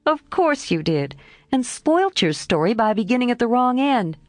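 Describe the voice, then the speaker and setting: Lady reader doing a jumpy excitable young girl, squeaky and bouncing all over. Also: normal speed, no echo, faint music behind. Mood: teasing. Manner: exclaiming, high and animated. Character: young woman, impulsive, tomboyish, warmly excitable.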